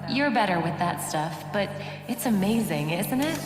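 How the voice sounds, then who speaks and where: A woman playing a gentle, encouraging mother in a game, patient and coaxing. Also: medium pitch, lively intonation, normal speed, lightly acted. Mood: patient, tender. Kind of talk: dramatic game voice acting. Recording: echoey hall reverb, music behind.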